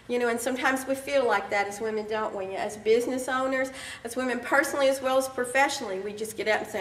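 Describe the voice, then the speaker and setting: A woman with a slightly raspy voice gives an encouraging, chatty keynote speech to a live audience at a conference. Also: fairly high pitch. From Texas. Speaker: keynote speaker. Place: large conference hall. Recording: echoey hall, voice from a distance.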